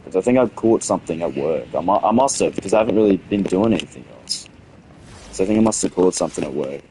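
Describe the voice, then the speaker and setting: A young man with a hoarse, sick-sounding voice gives rambling, swearing commentary as he streams a game and chats with viewers. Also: low voice, lively intonation, quick pace, warm tone, slight background noise, poor audio. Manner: rambling, swearing, frequent restarts. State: hoarse from a cold. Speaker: gaming streamer. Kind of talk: casual livestream gameplay commentary.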